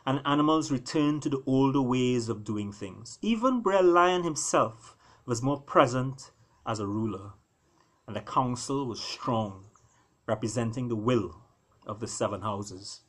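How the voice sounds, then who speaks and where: A man, an author reading his own work, narrates an animal fable expressively, with deliberate, dramatic phrasing and pauses. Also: medium pitch, animated, a bit bright.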